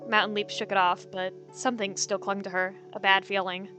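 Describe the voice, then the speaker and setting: A woman's voice: mid-range voice, flat delivery, a little fast, over music. A woman giving dramatic third-person narration of a story.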